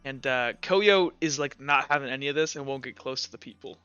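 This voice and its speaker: Bright-voiced man narrating a tabletop game. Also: medium pitch, clean tone. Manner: halting, casual, conversational. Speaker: game master. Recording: slightly dull, lo-fi audio. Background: music.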